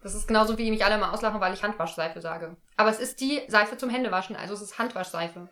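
A woman's voice: medium pitch, quick pace, fairly bright tone. A woman co-hosting a casual podcast, joking around and laughing often as she plays with words. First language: German. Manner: giggly, playful wordplay. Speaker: podcast co-host. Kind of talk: casual chat.

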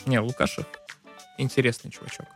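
A man co-hosting a casual podcast chat, relaxed and easygoing in manner. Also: mid-range voice, brisk, soft background music.

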